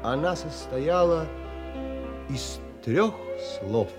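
A man playing a thoughtful traveller who recounts his wonder in measured, polite audio drama narration. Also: fairly high pitch, expressive, music in the background. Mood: rapt, reflective. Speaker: narrator and lead actor in an audio play.

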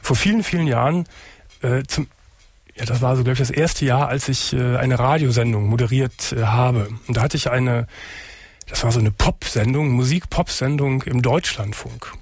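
Hoarse man hosting late-night radio. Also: mid-range voice, moderate pace, no room sound. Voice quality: husky. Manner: calm, earnest, encouraging. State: hoarse from a sore throat. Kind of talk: call-in radio talk.

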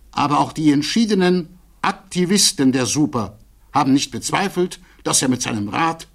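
An earnest defense lawyer guy, 1960s radio play, kinda higher voice, formal and measured, big deliberate pauses. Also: slightly rough, full character voice. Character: defense attorney pleading earnestly before a security hearing.

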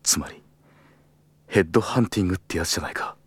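An earnest, principled office worker in an audio drama, with a fairly low voice. He moves between formal, deferential business speech and blunt private thought, in a dry, close recording. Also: quick pace, fairly bright tone, breathy edge, no echo, exaggerated voice. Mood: wary, startled. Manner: formal and deferential aloud, blunt in inner monologue. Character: earnest, principled male travel agency employee. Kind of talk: Japanese audio drama acting.

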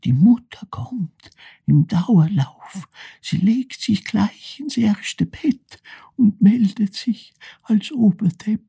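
Male actor doing a crazed mother; eerie drawn-out stage chant, high and whispering, very breathy. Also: animated, mellow, no room sound, full character voice. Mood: eerie singsong. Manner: slow, drawn-out, singsong. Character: deranged mother chanting a mocking asylum rhyme. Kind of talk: theatrical chanting.

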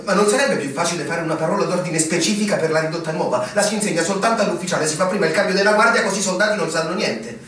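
An actor playing a curious, uneasy young lieutenant in a radio drama, earnest and questioning. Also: male voice, high-pitched, racing, hardly any pauses, fairly bright tone. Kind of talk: theatrical radio drama acting in Italian. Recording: very echoey, distant, clear background noise.

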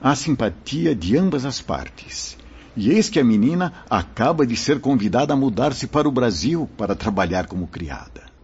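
Man acting a very husky, expressive spirit storyteller. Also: medium pitch, moderate pace, exaggerated voice. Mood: benevolent. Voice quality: husky, raspy. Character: solemn, benevolent spirit brother recounting a life story. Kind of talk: storytelling in a radio drama. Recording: dull lo-fi radio drama recording.

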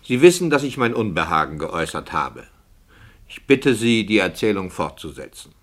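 A man's voice: sits low, very animated, normal speed. A man playing a sceptical, fussy radio play director, formal, polite and questioning. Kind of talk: 1960 German radio play dialogue.

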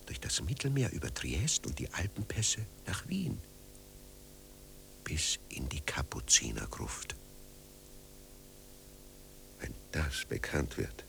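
An actor in a German radio play as a proud, suspicious émigré Austrian writer who drinks, formal and brooding. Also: male voice, extremely low pitch, vocal fry, a bit bright, heavily acted. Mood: hushed, pleading. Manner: grandly formal, dramatic, brooding.

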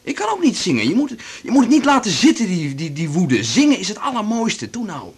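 An actor playing a gentle but excitable cellist, a coaxing and musing male partner, in a naturalistic radio drama. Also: sits high, a little fast, slightly rough, heavily acted. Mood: urging, excited.

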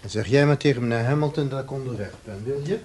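An actor in a Dutch radio play, playing a middle-aged father and ambitious office gentleman, anxious and condescending. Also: medium pitch, fast talker, lightly acted. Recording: noisy old radio play recording.

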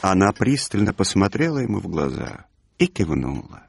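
A man narrating a literary audio play with expressive storytelling. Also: deep voice, moderate pace, vocal fry, husky.

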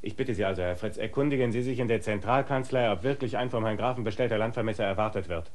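A young actor in a radio play voicing a steward's son who talks down to people in an officious, arrogant way. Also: male voice, lowish voice, quick pace, darkish tone, natural voice. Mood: haughty. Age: young adult.

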